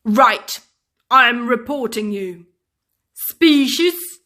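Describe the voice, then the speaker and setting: Woman as angry man, storybook character, pompous, indignant, emphatic scolding, slow deliberate pace. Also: on the high side, animated, sharp tone, exaggerated voice. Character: furious, scolding, pompous television-making man.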